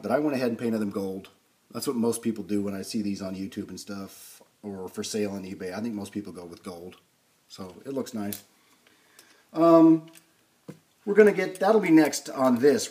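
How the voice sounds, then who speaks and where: A man's voice: medium pitch, a little mellow. A man giving a casual, unscripted hands-on repair demonstration, thinking aloud as he works. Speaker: host of a vintage record player restoration video series.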